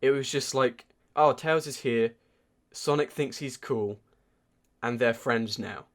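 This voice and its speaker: A man's voice: mid-range voice, takes it slowly. A man co-hosting a podcast, reviewing films in a casual, informal way as he thinks aloud. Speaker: podcast co-host. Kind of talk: casual film review chat.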